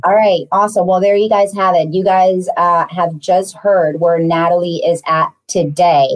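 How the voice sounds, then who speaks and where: A woman hosting an interview podcast. She asks long questions, then cheers her guest on with quick, upbeat affirmations. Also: lowish voice, poor audio. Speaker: podcast host.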